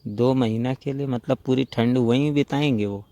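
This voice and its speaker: A mellow, dry-sounding man acting as a flirtatious younger brother-in-law in a phone-call drama. He chats casually and rambles like a gossip, at an ordinary male pitch. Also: normal speed, dry recording, lightly acted. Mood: concerned, chiding. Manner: conversational, gossipy, rambling storytelling. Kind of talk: staged phone-call role-play, casual chat.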